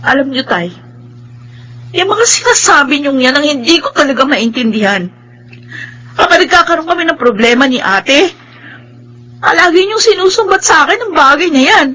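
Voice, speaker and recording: An actress with a Filipino accent playing a resentful, selfish, irritable younger sister in a radio drama, with petulant, defiant delivery. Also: female voice, high-pitched, lively intonation, normal speed, long pauses, bright, forceful tone, poor audio.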